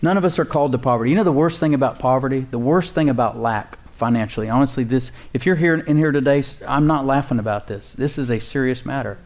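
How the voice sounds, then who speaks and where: A man preaches to his congregation in a loose, conversational style. He repeats himself for emphasis and lets out an easy chuckle. His voice sits at a middling pitch. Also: quick pace, few pauses, darkish tone. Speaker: pastor. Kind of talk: sermon.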